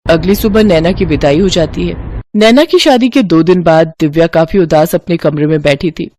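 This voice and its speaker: A woman narrating a scripted family story in an expressive storytelling style. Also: on the low side, normal speed, slight background noise.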